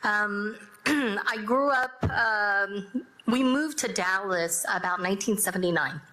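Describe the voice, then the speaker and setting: A middle-aged woman from South Korea, whose first language is Korean, speaking as a judge and panelist, telling her story in a measured, reflective way. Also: medium pitch, bright, forceful tone, low-quality recording. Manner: measured and reflective, with pauses and fillers.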